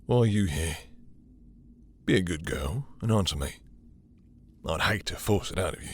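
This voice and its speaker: A man performs a possessive vampire master for an intimate roleplay audio drama. His voice is very deep and husky, with breathy edges. He draws out his words in a silky, menacing purr, seductive and cruel, pausing theatrically as he toys with his captive. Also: expressive. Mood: menacing, probing. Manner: silky, menacing, drawn-out purring with theatrical pauses.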